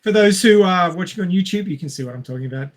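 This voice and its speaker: A man co-hosting a casual film discussion, making his points emphatically in halting, restarted phrases. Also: high-pitched, lively intonation. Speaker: podcast host.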